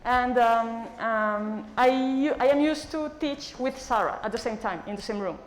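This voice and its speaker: Excited woman lecturer from Colombia. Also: a little high, moderate pace, slight background noise, rough recording. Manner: excited, friendly, laughs. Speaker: guest lecturer. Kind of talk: lecture opening. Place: lecture room.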